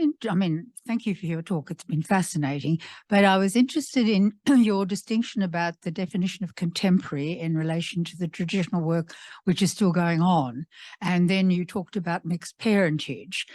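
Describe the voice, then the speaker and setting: A woman's voice: on the low side, a little mellow, no echo, studio quality. A woman from the audience putting an unscripted question at a public talk, circling tentatively toward her point. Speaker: audience member asking a question. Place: indoors at a museum talk event.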